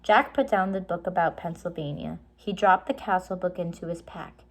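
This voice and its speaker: A woman's voice: on the low side, moderate pace. A woman reading a children's storybook aloud, in simple, explanatory style for young listeners.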